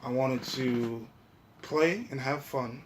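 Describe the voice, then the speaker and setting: A man's voice: mid-range voice, takes it slowly. A man in a casual podcast chat, talking in an informal, slangy way with plenty of swearing. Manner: profane, slangy, informal talk. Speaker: podcast host. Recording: clear background noise.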